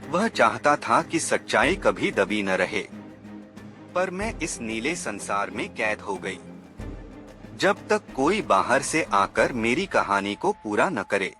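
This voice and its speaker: A man voicing a sad, mysterious young ghost woman in a horror story, solemn and measured. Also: on the low side, sing-song, pushed and bright, faint music behind, exaggerated voice. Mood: sorrowful.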